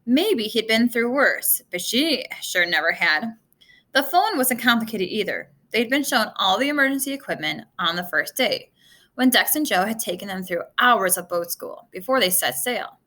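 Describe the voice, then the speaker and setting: Bright, animated woman reading a story aloud. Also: fairly high pitch, moderate pace. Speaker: storybook reader. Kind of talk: read-aloud narration of a children's adventure novel.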